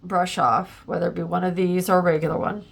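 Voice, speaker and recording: A woman's voice: fairly low pitch, little pitch movement, fairly quick, gravelly. A woman hosting a casual art tutorial, a little congested and plugged up, chatting and thinking aloud as she works, with lots of ums and likes. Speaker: art channel host.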